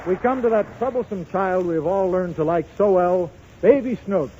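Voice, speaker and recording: Male radio host, high pitch, 1930s announcing, muffled sound, audience noise. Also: normal speed, over a noisy crowd.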